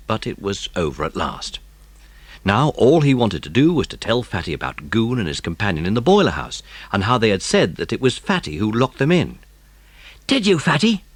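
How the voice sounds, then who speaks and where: A man's voice: fairly low pitch, slightly rough, no room sound. A man with a British accent narrating a children's story in a scripted audiobook style.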